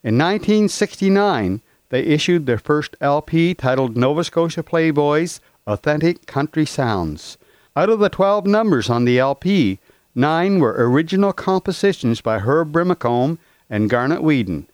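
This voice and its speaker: A man hosting a country and gospel radio program, reading his introductions in a steady, scripted way. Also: on the high side, moderate pace, dry recording. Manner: steady, read-aloud delivery. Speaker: radio host.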